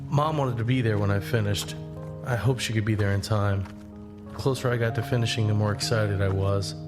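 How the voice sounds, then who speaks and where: A man acting as a laid-back young man narrating an audio drama about himself. He speaks plainly and conversationally, like scripted first-person storytelling. His voice sits a little low, under prominent guitar music. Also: fairly even intonation, a little fast, lightly acted. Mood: reflective. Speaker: narrator and lead.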